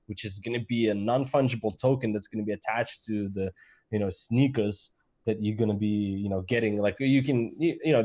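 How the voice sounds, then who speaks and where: A man with a Ukrainian accent talks through the news in a loose, halting way, full of "you know" and "um". His voice sits on the low side. He sounds like a co-host on a casual crypto podcast. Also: a little mellow. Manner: halting, many fillers and restarts. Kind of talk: casual panel discussion.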